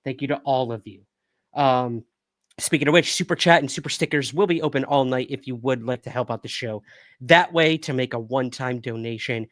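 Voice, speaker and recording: A man hosting a podcast livestream, chatting casually and upbeat with viewers, with a calming voice, recorded on a new studio microphone. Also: mid-range voice, a little fast, no echo. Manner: upbeat, thanks viewers and reads chat aloud.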